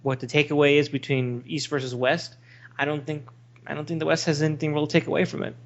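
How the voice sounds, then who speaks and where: A man's voice: on the high side, level tone, fast, gravelly. A man co-hosting a podcast, talking casually in an opinionated, rambling way. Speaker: podcast co-host. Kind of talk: casual discussion of video games. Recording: dull lo-fi voice recording.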